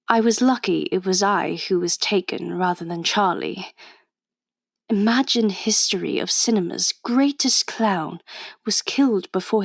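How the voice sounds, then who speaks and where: A woman's voice: mid-range voice, vocal fry, dry recording, clean studio sound. A woman playing a witty, stubborn Edwardian undercover agent in a radio drama, British accent, with formal, chatty period phrasing. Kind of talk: radio drama acting and first-person narration.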